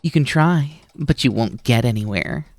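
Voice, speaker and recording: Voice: mid-range voice, expressive, normal speed, darkish tone, dry recording. A performer in an ASMR roleplay voicing a mischievous, teasing naga snake-demon roommate who coaxes playfully and likes to be in charge. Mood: mischievous, scheming.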